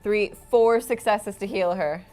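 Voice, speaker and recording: A woman's voice: mid-range voice, a little slow, warm tone, faint music behind. A woman player in a tabletop role-playing game, slipping between table chat and speaking in character.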